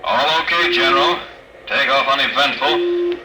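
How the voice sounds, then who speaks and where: A man's voice: mid-range voice, moderate pace, far from the mic. An actor playing a businesslike military observation pilot, clipped in his reporting through an aircraft radio, in 1950s radio drama style. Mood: crisp, businesslike. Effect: aircraft radio transmission. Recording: noisy echoing radio link, engine drone.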